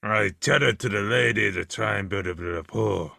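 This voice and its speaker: A man hosting a podcast, asking questions in a dry, deadpan way. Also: on the low side, moderate pace, creaky, no room sound. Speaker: podcast host. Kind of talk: casual interview.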